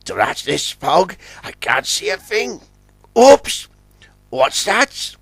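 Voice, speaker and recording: Old, sensible tugboat getting nervous, performed by a male narrator, wry mutterer, varied pitch, dry storybook recording. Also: wildly swooping pitch, very slow, gravelly, a bit bright, slightly rough, no echo, full character voice. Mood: uneasy. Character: level-headed old male tugboat, uneasy. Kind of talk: children's storybook character voice.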